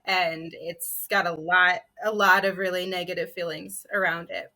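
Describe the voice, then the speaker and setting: A woman's voice: on the low side, level tone, moderate pace, bright, forceful tone. A woman telling personal stories in a panel discussion, in a casual, conversational way. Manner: casual, peppers talk with "like". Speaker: panelist, comic artist and illustrator.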